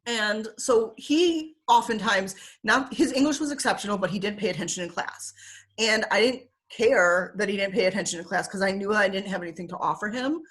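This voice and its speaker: A woman's voice: medium pitch, brisk, fairly bright tone. Woman, Michigan accent, young adult, casual interview guest, filler-heavy, laughs easily, video call. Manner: chatty with frequent fillers, laughs often.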